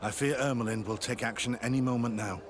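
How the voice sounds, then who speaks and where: An actor playing a remorseful, dutiful sergeant in a video game, speaking earnestly and soberly. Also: male voice, mid-range voice, steady tone, fast talker, background noise, natural voice. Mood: sober, alert. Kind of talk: scripted video game acting.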